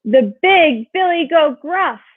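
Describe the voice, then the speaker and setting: A woman voicing a big, loud, defiant male billy goat in a storybook. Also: high voice, lively intonation, no echo, narrow, tinny audio, pitched above the performer's own voice. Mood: proud. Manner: slow, challenging.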